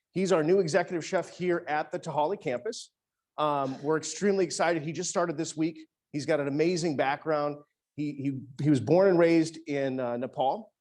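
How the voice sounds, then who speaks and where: A man's voice: fairly high pitch, darkish tone. A man, an executive chef, giving a casual cooking demonstration in a teaching kitchen, talking chattily with many restarts.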